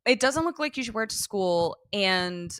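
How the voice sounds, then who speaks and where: A woman's voice: medium pitch, animated, moderate pace, a bit bright, dry recording, studio quality. A woman co-hosting a casual TV recap chat, talking in slangy, sweary, informal language. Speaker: podcast co-host.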